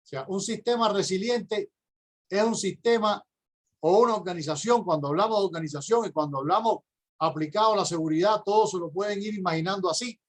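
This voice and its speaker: A middle-aged man with a Cuban accent delivers a prepared conference talk, his voice sitting notably high for a man. He explains step by step in a measured tone and pauses now and then to find the next word. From Cuba. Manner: measured, explanatory, with occasional hesitations. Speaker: university professor and conference speaker.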